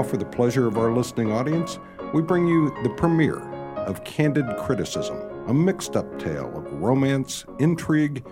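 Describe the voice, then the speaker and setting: A man reading a scripted introduction as announcer of a radio theatre show. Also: fairly low pitch. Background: music.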